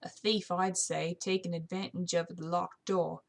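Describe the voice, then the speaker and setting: A woman's voice: fairly low pitch, level tone, unhurried, vocal fry. A woman reads as an eager young constable in a storybook mystery. He offers his thoughts readily, in a put-on British accent. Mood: speculative.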